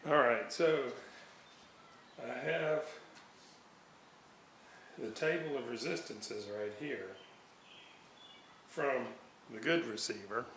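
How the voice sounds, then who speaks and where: A man giving an unscripted workbench walkthrough of his repair, halting and thinking aloud as he goes. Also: medium pitch, a bit bright. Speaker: hobbyist radio restorer narrating his repair. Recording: roomy echo, background noise, uneven level.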